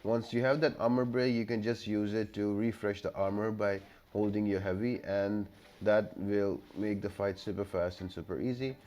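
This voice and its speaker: A man's voice: on the low side, fairly even intonation, normal speed, warm tone. A man giving an instructional, step-by-step voice-over for a gameplay tutorial. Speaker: game guide video creator. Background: background music.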